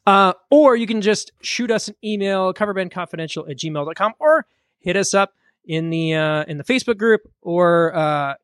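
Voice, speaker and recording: A man co-hosting a podcast in easygoing, rambling chat. His voice sits a bit high. The sound is dry and close, with no room around it. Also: normal speed, clear, resonant tone, no room sound. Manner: relaxed, rambling with many fillers. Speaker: podcast co-host. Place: home studio during a live stream.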